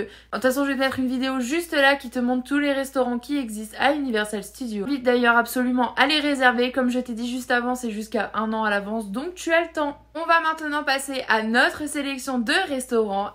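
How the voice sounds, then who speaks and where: A young French woman talks straight into a close camera in her bedroom, her voice sitting a little on the high side. She chats easily and informally, filling gaps with little hesitations as she addresses the viewer directly. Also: fairly bright tone. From France. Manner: chatty and conversational, lots of fillers, addresses viewer directly. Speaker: travel vlogger. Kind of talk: casual talking-head vlog. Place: bedroom with shelves, indoors.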